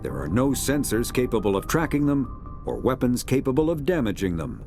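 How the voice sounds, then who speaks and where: A man narrating a science fiction game's encyclopedia in a measured, formal, authoritative documentary style. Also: medium pitch, sing-song, lightly acted. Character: formal male narrator of a sci-fi codex. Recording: music behind the voice. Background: clear background music.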